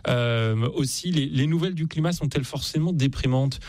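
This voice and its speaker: A man hosting a radio call-in show, brisk and quick to interject. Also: medium pitch, darkish tone, no room sound. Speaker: radio host.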